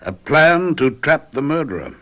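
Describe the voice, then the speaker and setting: An actor playing a calm, self-assured gentleman detective in a radio mystery drama, speaking in a measured, soothing way. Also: on the high side, highs cut off. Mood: calmly persuasive.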